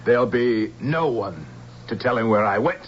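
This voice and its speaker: An actor playing a ruthless, power-hungry general, commanding and scornful, in a radio drama. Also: male voice, medium pitch, lively intonation, normal speed, natural voice. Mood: scornful triumph. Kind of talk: radio drama villain acting. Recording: dull lo-fi radio recording, faint hiss.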